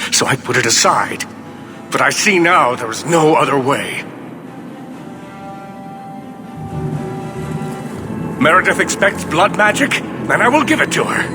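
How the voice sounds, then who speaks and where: Grave, weary mage leader in cavernous reverb. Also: male voice. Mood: despairing, bitter. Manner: formal, solemn, measured. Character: weary, embattled middle-aged male mage leader, grave and despairing. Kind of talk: dramatic video game voice acting. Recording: long reverb, loud background rumble.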